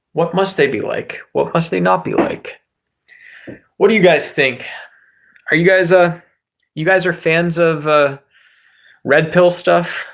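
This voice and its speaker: Rambling, gravelly-voiced streamer man. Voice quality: says his voice is high and squeaky at times. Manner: rambling, joking, greets viewers by name, swears freely. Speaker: livestream host. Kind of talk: casual livestream chat with viewers.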